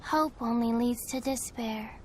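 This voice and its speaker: A woman's voice: on the high side, measured pace, slight background noise, natural voice. A woman voicing a blunt orphan street girl. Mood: flat. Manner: flat, blunt. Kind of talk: game cutscene voice acting.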